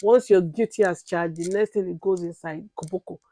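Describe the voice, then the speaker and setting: A woman's voice: on the low side, steady tone, fairly quick, dark tone, no room sound. A woman in her thirties with a Nigerian accent, chatting casually to the camera as a vlogger and mother, often repeating herself and tagging on "right?". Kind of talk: casual sit-down vlog talk.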